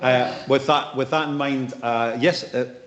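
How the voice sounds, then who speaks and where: A man's voice: mid-range voice, fairly even intonation, unhurried, roomy sound, highs cut off, slight background noise. A man chairing a council meeting, calling on speakers and keeping order.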